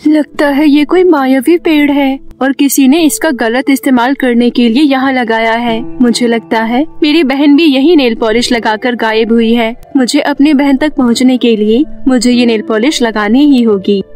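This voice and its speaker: A woman voicing a brave, devoted young village girl in an animated fairy tale, earnest, dramatic and determined. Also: high-pitched, moderate pace, hardly any pauses, faint music behind, lightly acted. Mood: worried, resolved.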